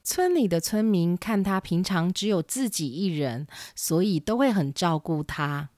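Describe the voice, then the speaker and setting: A woman's voice: fairly low pitch, lively intonation, a little slow, a little mellow, dry recording, clean studio sound. A woman narrating a scripted children's story as the storyteller. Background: classical music.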